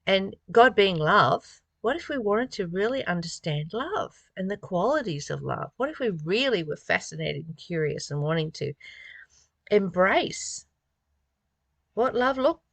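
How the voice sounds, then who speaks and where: A woman's voice: mid-range voice, fairly bright tone, dry recording. A woman reading spiritual text aloud and commenting on it informally in a reflective, musing way, often posing rhetorical questions. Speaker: spiritual study reader and commentator.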